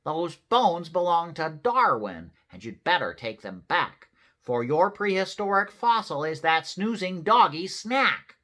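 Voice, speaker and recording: Woman as a high, bossy mother cat. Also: big pitch swings, unhurried, a bit bright. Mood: scolding. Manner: emphatic, hollering, commanding.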